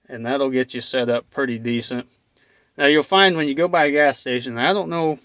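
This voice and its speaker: A man's voice: medium pitch, a bit bright, dry recording. An American man giving a casual hands-on tutorial in a folksy, plain-spoken way. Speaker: vlogger and trucker demonstrating gear. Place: inside an old truck cab, later outdoors by it.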